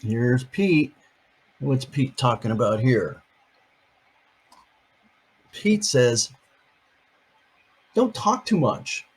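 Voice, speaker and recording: A man's voice: mid-range voice, sing-song, very slow, pauses often, husky. An older man reading a picture book aloud to his grandchildren, adding chatty, playful asides between pages.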